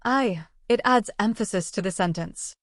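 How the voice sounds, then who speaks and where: A woman teaching a language lesson, explaining phrases in an even, scripted way. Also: mid-range voice, normal speed, slight background noise.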